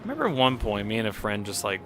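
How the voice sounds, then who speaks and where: Man, low-pitched, slightly nasal from a cold, rambling game commentary, loud music underneath. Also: wildly swooping pitch, fast talker. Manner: rambling, reacts to chat, chuckles often. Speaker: game streamer. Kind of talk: casual commentary while playing. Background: game music playing.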